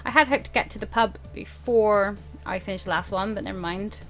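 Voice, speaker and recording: A woman's voice: mid-range voice, a little fast, faint music behind. A woman doing casual playthrough commentary on a visual novel, chatty and full of asides as she reacts to the story. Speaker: let's player reading a visual novel aloud. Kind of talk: casual playthrough commentary with read-aloud dialogue.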